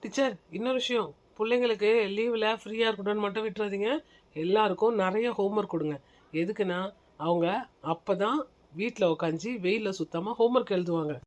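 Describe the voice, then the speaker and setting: Woman acting a fussy, strict schoolteacher, mid-pitched. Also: a little fast, lightly acted. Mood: businesslike. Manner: instructing, repeating reminders, checking for agreement. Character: senior female schoolteacher, fussy, strict but caring with small children. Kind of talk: acted classroom skit.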